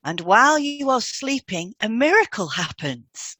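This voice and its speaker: A woman co-hosting a podcast as a hypnotherapist, reading a script with measured, deliberate phrasing. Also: on the high side, big pitch swings, bright, forceful tone, no room sound, clean studio sound.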